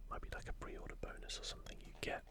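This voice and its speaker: Whispered voice, ASMR commentary, unvoiced and breathy, halting and musing, unscripted host.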